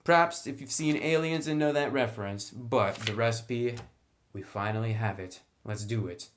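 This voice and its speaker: A man's voice: medium pitch, normal speed, a little hiss or hum. A man giving a casual, conversational review of a tabletop game book, speaking with enthusiasm about the material.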